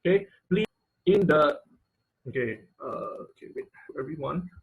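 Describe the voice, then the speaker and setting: Halting man teaching online, dull audio. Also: fairly high pitch, lively intonation, gravelly, background noise. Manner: halting, repeats words and rephrases mid-sentence. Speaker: online class instructor. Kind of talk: informal live online technical lesson with question and answer. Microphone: video call microphone. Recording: dull, lo-fi video call audio.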